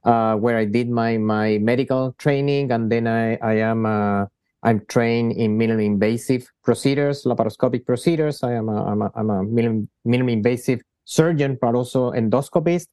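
A man from Chile speaks, answering interview questions as a surgeon and founder. His voice is mellow and smooth. He often stumbles, restarting and repeating words, and the sound is dry and close. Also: medium pitch, fairly even intonation, a little fast, dry recording. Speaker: guest surgeon and company founder.

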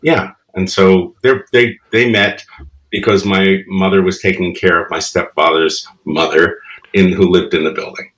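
A man's voice: low-pitched, fairly even intonation, unhurried, a bit bright, poor audio. A man in his fifties from the Bronx whose first language is Spanish, a guest telling reflective, rambling stories with frequent laughs. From the Bronx, New York. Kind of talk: casual interview chat.

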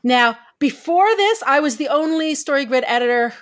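A woman's voice: high voice, expressive, normal speed. A woman from Canada co-hosting a podcast, talking in a casual, chatty way and laughing easily. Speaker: podcast co-host, story editor.

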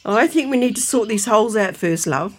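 An actress playing a stubborn, gullible older grandmother in a comic audio drama, husky-voiced and full of indignant questions. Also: mid-range voice, expressive, a little fast. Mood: alarmed.

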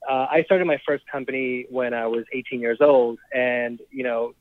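A man in his thirties from Trinidad and Tobago, a startup founder telling his story in a relaxed interview, with lots of ums and restarts. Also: medium pitch, normal speed, no room sound. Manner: relaxed, laughs often, many ums and restarts. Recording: dry, tinny phone-like remote line.

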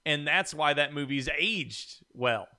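A male podcast host in relaxed conversation. His voice sits a little high for a man and has a bright, forward edge as he banters casually. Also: takes it slowly.

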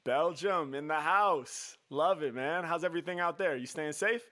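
A man's voice: fairly high pitch, moderate pace, sharp tone. A man hosting a live show and chatting casually with callers in a slangy, appreciative way. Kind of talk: casual chat with callers on a livestream. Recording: music playing behind the voice.

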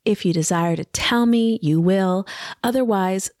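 Woman podcaster talking real slow and gentle, voice a bit scratchy, dry close sound. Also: medium pitch, no room sound. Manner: slow, soft and gentle, with drawn-out pauses. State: slightly scratchy voice from allergies. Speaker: podcast host. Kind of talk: casual reflective podcast monologue. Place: home by a river, indoors, wind outside.

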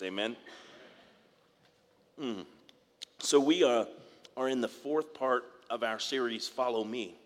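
A man preaches to his congregation, his voice a little raspy from the dry air. He talks in a halting way, doubling up words and asking for an amen. His voice carries a long reverb, as if recorded in a large church hall. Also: mid-range voice, fairly bright tone. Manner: halting, repeats words, asks congregation for amens. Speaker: pastor. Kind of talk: sermon. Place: church sanctuary.

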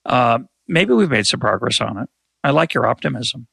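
Older guy hosting a chat, gravelly and kinda bright, musing slow with lots of restarts. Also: medium pitch, very animated, dry recording, clean studio sound. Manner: thoughtful, hesitant with repeated restarts. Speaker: podcast host. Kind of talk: reflective conversation.

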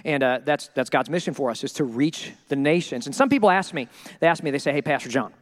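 A male pastor preaching a sermon in an animated, joking way, acting out the people he quotes. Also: on the high side, quick pace, big-room sound. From Minnesota.

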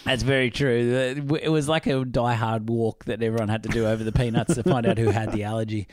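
A man co-hosting a casual film podcast, his voice husky from illness, chatty and enthusiastic. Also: medium pitch, steady tone, quick pace, a little mellow. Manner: chatty, enthusiastic, laughs often. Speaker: podcast co-host.

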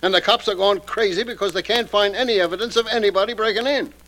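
An actor playing a fretful, slangy theater company manager in an old-time radio drama. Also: male voice, sits high, fast talker, fairly bright tone, rough recording.

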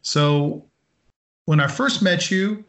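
A man hosting a podcast, asking his guest questions in a friendly, halting way. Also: high-pitched, a bit bright. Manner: friendly, halting, many ums and restarts. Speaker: podcast host. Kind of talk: casual interview.

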